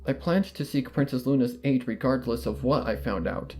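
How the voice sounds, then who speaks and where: Man reading a story aloud, calm and measured. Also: medium pitch. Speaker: fan fiction reader. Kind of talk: first-person audiobook narration.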